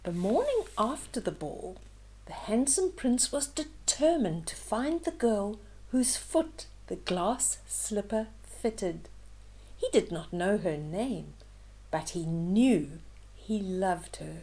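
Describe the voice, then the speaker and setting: Slow-paced older woman reading a storybook. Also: mid-range voice, breathy edge, rough recording. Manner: expressive, explains pictures in simple words. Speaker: children's storyteller, host. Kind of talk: storybook read-aloud with asides to young listeners.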